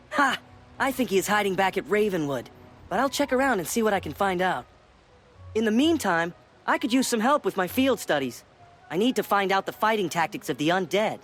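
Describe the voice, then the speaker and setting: A bookish young wizard student in a fantasy game, speaking very high and with lively swings of pitch. He sounds eager and slightly smug, over light music. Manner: animated, bookish, expressive. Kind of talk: video game character acting.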